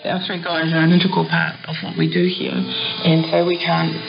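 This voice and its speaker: A woman's voice: fairly low pitch, completely flat delivery, moderate pace, over music. A woman talking off the cuff as a business owner in a news interview. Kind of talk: spontaneous interview talk. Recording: muffled shortwave radio, clear static.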